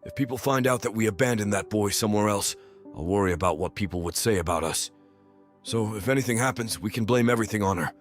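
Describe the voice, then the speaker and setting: A callous father who shrugs off his own son, played by a man talks in a lowish voice. His words come out blunt and dismissive. This is anime dub acting. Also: fast talker, soft background music, lightly acted. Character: cold, self-serving father who abandons his son.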